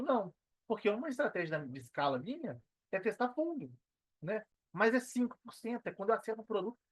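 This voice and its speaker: Brazilian man on a podcast telling his own story, casual n emphatic, dry sound no echo. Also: high-pitched. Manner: emphatic, colloquial, often quoting own thoughts. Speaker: podcast guest, affiliate marketer. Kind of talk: casual interview talk, telling own experience.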